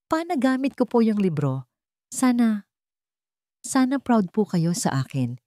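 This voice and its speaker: A woman voicing a gentle, grateful young heroine in an audio love story, speaking softly and politely. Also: fairly high pitch, sing-song, unhurried, no echo, studio quality, pitched above the performer's own voice. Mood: earnest, grateful.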